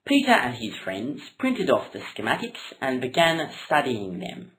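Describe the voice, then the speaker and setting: A woman narrating a comic puppet story in a deadpan storytelling style. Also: rumbling low, unhurried, rough recording.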